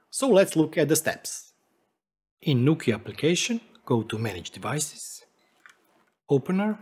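A man's voice: mid-range voice, breathy edge. A man in his forties, a non-native English speaker, explaining a tech tutorial emphatically as a video host. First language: not English. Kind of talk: tutorial narration to camera.

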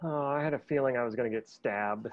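A man's voice: medium pitch, fast talker, natural voice. Man reading sheepish hapless guy, dull lo-fi sound. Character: hapless, apologetic young man. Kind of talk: table read.

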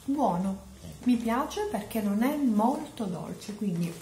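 A woman's voice: a little high, lively intonation, slow pace, warm tone, roomy sound, a little hiss or hum. A woman, chatting to camera as a vlogger, explaining things with lots of fillers. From Palermo, Sicily. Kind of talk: grocery haul chat to camera. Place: indoors, small home room. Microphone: camera at close range.

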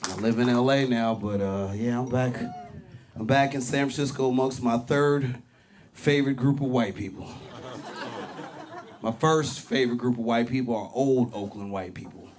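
A man in his forties from Berkeley, California, doing a profane, animated stand-up set on a club stage with a handheld mic. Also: medium pitch, moderate pace. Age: 40s. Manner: loud, animated, profane punchlines with shouted peaks. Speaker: stand-up comedian. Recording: live club with crowd laughter. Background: audience laughter.

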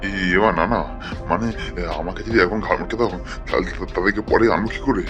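Comic cartoon coward, played by a man: flustered, rambling, stammering, quick pace, faint music. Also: low voice, steady tone, dull audio, natural voice. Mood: frightened pleading. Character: flustered cowardly boss pleading with a ghost. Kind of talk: amateur comic cartoon dub. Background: faint background music.

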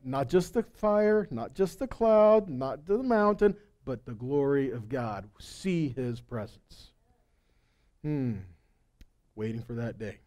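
A man's voice: medium pitch, animated, slow, darkish tone. A man in his forties preaching in a folksy, conversational and emphatic way on a headset mic, moving between casual storytelling and scripture reading. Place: indoors on a stage at a congregation hall. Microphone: headset microphone.

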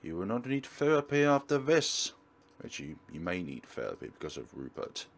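A man's voice: low voice, sing-song, normal speed. A man giving a walkthrough commentary as he plays a game. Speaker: let's player.

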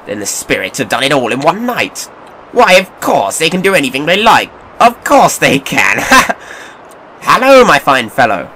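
A man's voice: on the high side, wildly swooping pitch, measured pace, pushed and bright, full character voice. An actor playing an old miser in an audio drama, fervent and theatrical as he repents and rediscovers joy. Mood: elated. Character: old miser, anguished and repentant, then giddily joyful. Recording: noisy recording with long echoing reverb.

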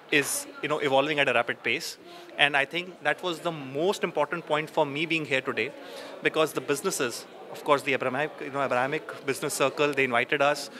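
A man in his thirties, a tax advisor, speaking fluently with many "you know"s in an event interview on a handheld mic. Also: mid-range voice. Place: busy indoor event, press backdrop. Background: crowd chatter.

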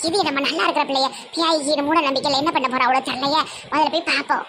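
A woman's voice: sits high, steady tone, fast, a bit bright, slight background noise. Woman doing a wry, gossipy village neighbour. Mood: sceptical. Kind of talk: comic family skit.

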